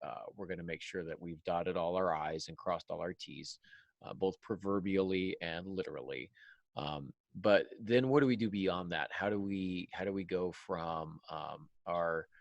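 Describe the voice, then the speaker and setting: Deep, creaky-voiced man hosting a podcast. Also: moderate pace, no room sound, lo-fi sound. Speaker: podcast host, writing teacher. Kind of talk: casual panel discussion.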